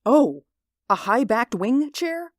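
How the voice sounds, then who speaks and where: An actor in a 1940s radio drama playing a haunted, sleepless man who pleads in an intense, hushed voice. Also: extremely high pitch, very animated, slow, no echo, studio quality, heavily acted. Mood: pleading.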